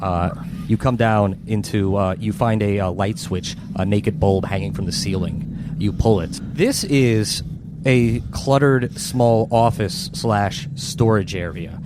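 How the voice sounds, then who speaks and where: A man's voice: fairly low pitch, slow, background noise. A man running a tabletop horror game as game master, improvising scene descriptions and calling for rolls. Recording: music laid loudly under the voice. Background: loud background music.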